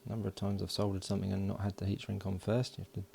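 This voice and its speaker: Low, gravelly man muttering at a workbench. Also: rapid-fire, slight background noise. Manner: mutters along while working, relaxed and matter-of-fact. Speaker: electronics hobbyist. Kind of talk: casual build commentary. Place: home workbench, indoors.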